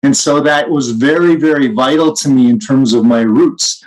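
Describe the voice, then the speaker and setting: A man with a medium-pitched voice chats as a podcast co-host. He speaks reflectively, working through ideas and personal anecdotes. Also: normal speed, a little mellow, rough recording. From Canada. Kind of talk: conversational podcast discussion.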